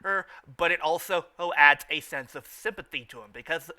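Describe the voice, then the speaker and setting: Bright, high-pitched man stammering through fan commentary. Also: a little fast. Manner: stammering, often repeats phrases. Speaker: host of a fan riffing show.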